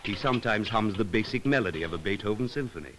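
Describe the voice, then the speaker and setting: A man reading scripted narration for an educational film, in a measured, solemn and poetic way with deliberate pauses. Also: on the low side, lively intonation, noisy background. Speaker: documentary narrator.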